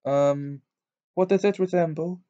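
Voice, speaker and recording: A man voicing a dreamy, eccentric older woman who teaches divination, with a high, breathy, fussing manner. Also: measured pace, mellow, natural voice. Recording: dull lo-fi recording.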